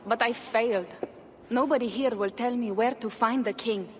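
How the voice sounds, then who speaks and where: A woman's voice: a little high, normal speed, close to an everyday voice. Woman playing blunt Viking shield-maiden in reverb. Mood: earnest. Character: proud but self-doubting Viking shield-maiden. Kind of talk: dramatic video game acting. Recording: reverberant, with faint ambient noise.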